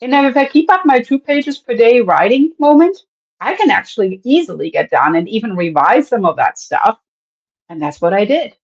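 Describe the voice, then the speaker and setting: A woman from Germany with a German accent, speaking as an animated podcast guest who laughs often and lets her pitch swing widely. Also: moderate pace, highs cut off. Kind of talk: casual interview talk.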